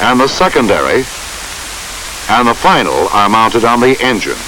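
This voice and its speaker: A man reads scripted instructional narration for a training film in a measured, formal way. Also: medium pitch, sing-song, bright, forceful tone, rough recording. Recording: hissy old training film soundtrack.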